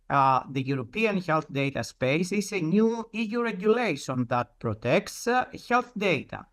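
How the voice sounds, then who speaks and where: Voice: mid-range voice, fairly bright tone. A presenter giving an explanatory talk in a didactic way, posing questions and then answering them.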